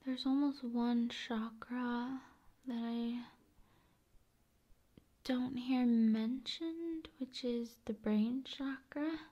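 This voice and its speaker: Female ASMR host: unhurried, soothing, half-whispered delivery, flat intonation. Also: on the high side, dull audio. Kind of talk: ASMR, soft-spoken and whispered rambling.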